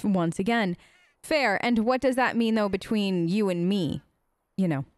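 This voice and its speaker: A woman's voice: medium pitch, unhurried, darkish tone, no echo. A woman doing playful, chatty gameplay commentary, sometimes speaking in character, with a mock-whiny touch. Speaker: gamer doing a role-play let's play.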